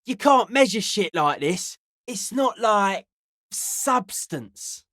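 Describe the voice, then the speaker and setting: An earnest young hardcore raver who talks in slangy, cryptic pronouncements as a self-styled psychic. The delivery is matter-of-fact game dialogue at a middling pitch, recorded close and dry. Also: female voice, fairly bright tone, no room sound. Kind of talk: video game character dialogue.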